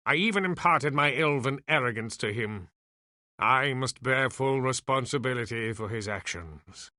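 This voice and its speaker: An actor playing an ancient, reclusive elf sage in a video game, sardonic and weary, with theatrical, deliberate delivery. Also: male voice, medium pitch, expressive, bright, forceful tone, dry recording, clean studio sound. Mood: regretful. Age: older.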